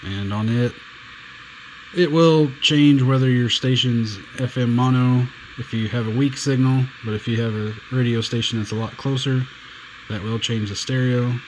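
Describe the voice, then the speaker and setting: A man's voice: mid-range voice, a little hiss or hum, rough recording. A man giving a casual, plainspoken hands-on demo of a pocket radio, walking through its buttons and bands. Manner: relaxed, plainspoken, slow and unhurried. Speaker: radio reviewer.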